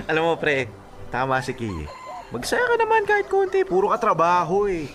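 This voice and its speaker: A man's voice: sits high, huge pitch swings, unhurried, a bit bright, a little hiss or hum, heavily acted. A man playing a joking young office buddy in a radio drama, bantering and egging friends on. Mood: coaxing. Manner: bantering, exclamatory. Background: music.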